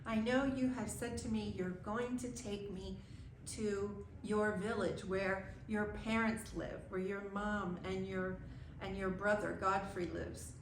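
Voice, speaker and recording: A woman asks curious questions at a slow, deliberate pace. The room gives her voice a strong echo, and a faint hiss runs underneath. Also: medium pitch, steady tone. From United States. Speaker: host asking questions. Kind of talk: casual interview.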